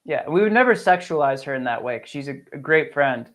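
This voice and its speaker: A man's voice: medium pitch, expressive, brisk, fairly bright tone. A man co-hosting a casual fantasy sports show, joking and teasing in crude, easygoing banter. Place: remote call on a live stream.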